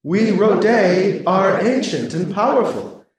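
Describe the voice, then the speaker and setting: A man voicing an ancient alien collective that speaks slowly and solemnly in another's mind. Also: high voice, lively intonation, very echoey, distant mic. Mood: nostalgic. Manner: slow, solemn.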